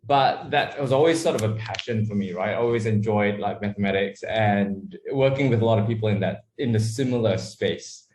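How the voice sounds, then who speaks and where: Relaxed founder with echoey sound. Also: male voice, fairly low pitch, fairly quick, few pauses. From Malaysia, raised in Australia. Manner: relaxed, rambling with many fillers. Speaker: startup founder, guest. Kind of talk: casual interview answers. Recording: echoey room.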